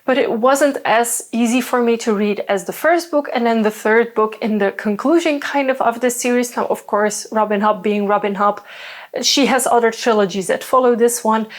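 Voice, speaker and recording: A woman's voice: a little high, normal speed. A young woman whose first language is Dutch, chatting casually about books with lots of fillers and asides. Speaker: book reviewer. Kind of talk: casual book review talk.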